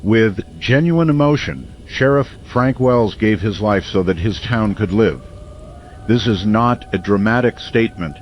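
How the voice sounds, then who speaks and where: A grave radio drama host, acted by a man, speaks in measured, deliberate phrases like a late-night newsman. His voice sits low, and the sound is lo-fi with clear noise behind it. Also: expressive. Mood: solemn, moved. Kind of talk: dramatic radio news narration.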